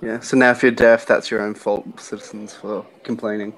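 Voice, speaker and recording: Easygoing, joking man with a low voice. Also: flat delivery, normal speed, rough recording. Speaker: community team member. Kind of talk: casual live-stream chat.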